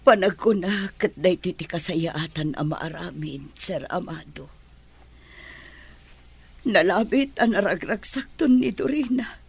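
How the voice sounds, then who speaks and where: Husky, sorrowful devoted nanny. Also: female voice, lowish voice, lively intonation, unhurried, heavily acted. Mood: sorrowful, resigned. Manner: pleading, heavy-hearted. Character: sorrowful, self-sacrificing nanny and secret birth mother. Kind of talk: radio drama acting.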